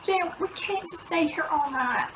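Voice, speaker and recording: A woman's voice: very high voice, moderate pace. A woman playing a worried, placating wife and mother in an old-time radio sitcom. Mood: anxious. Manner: placating, anxious. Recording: dull lo-fi radio play recording, faint noise.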